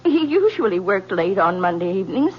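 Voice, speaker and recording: An actress plays a middle-aged married mother, composed on the surface and shaken beneath. Her voice has a medium pitch, and she acts in old-time radio drama style. The recording is dull, with hum behind her. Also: very animated, fairly quick, lightly acted. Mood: guarded, polite.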